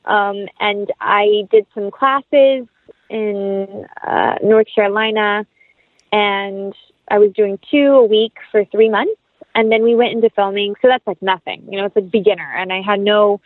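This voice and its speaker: Over a narrow, phone-like connection with a dry sound, a woman talks casually as an interview guest. Her voice is mid-pitched, and she is chatty and enthusiastic. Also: a little slow, no room sound.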